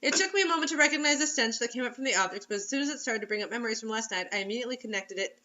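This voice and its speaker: A woman's voice: fairly high pitch, lively intonation, very fast, lo-fi sound, noisy background. A woman reading a story aloud as host in a playful, theatrical, mocking way, breaking into casual comic asides. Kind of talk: comedic dramatic reading with casual commentary.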